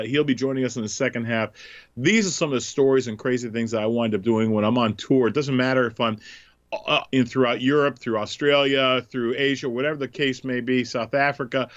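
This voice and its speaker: A man's voice: mid-range voice, fairly bright tone, dry recording, highs cut off. A man hosting a comedy podcast, talking casually with a stuffed-up nose from a cold, jokey and full of fillers. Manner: chatty, jokey, many filler ums. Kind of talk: casual radio chat.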